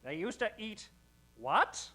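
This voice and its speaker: An actor playing a pious, excitable, pompous professor-priest in a comic old-time radio adventure. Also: male voice, high voice, takes it slowly, exaggerated voice. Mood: pious, shocked.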